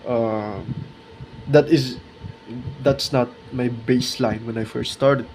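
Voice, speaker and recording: A twenty-year-old man talking casually and reflectively to his viewers in a vlog, halting and correcting himself as he searches for words. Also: mid-range voice, steady tone, darkish tone, a little hiss or hum, poor audio. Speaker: art video creator.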